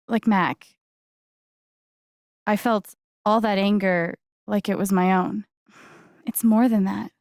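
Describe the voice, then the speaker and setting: A woman's voice: mid-range voice, gravelly, mellow, dry recording, studio quality. An actress playing a guarded, wry young woman in a video game, speaking in a casual, hesitant, understated way. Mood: vulnerable, earnest. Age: young adult. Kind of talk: naturalistic video game voice acting.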